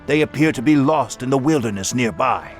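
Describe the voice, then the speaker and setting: A dutiful soldier messenger, played by a man, reporting briskly in a plain mid-range voice. A game score plays under him. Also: mid-range voice, expressive, soft background music, lightly acted.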